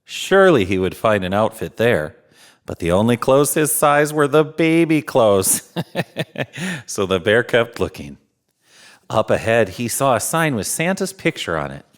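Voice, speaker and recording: A man reading a children's storybook aloud as a jolly storyteller, with unhurried, dramatic phrasing. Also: medium pitch, very animated. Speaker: storyteller in Santa persona.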